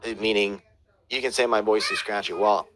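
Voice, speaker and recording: A man's voice: fairly low pitch, steady tone, moderate pace, fairly bright tone. A man teaching audiobook production, reading out viewer questions and answering them in a casual, hesitant way with many fillers and restarts.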